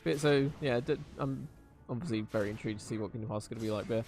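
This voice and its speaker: Man casually commentating a game, mid-low voice. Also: fast talker, a little mellow. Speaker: game streamer. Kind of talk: casual live gameplay commentary. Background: game music.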